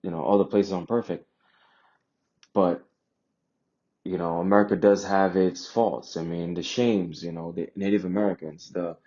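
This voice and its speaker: Deep, dark-voiced man from Jamaica. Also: steady tone, normal speed, pauses often, highs cut off. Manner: emphatic, repeats phrases for emphasis, rhetorical questions. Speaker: vlogger sharing personal views. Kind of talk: casual opinion monologue.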